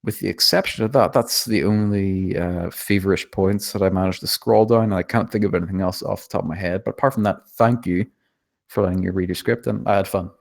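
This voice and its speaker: A man's voice: low voice, steady tone, brisk, vocal fry. A man giving spontaneous script feedback, thinking aloud in a hesitant, self-deprecating, hedging way. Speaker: table read participant giving script feedback.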